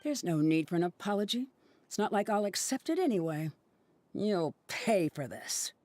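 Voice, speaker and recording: A woman's voice: mid-range voice, lively intonation, normal speed, darkish tone, a little hiss or hum, lightly acted. A woman voicing a bitter, accusing young female student researcher in a video game. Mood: cold, vengeful.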